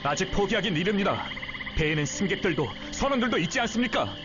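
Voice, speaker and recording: A man's voice: high voice, sing-song, quick pace. A man voicing an earnest, resolute young teacher and fighter, polite and firm, in a Korean video game. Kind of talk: dramatic Korean game voice acting. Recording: muffled game audio under loud music.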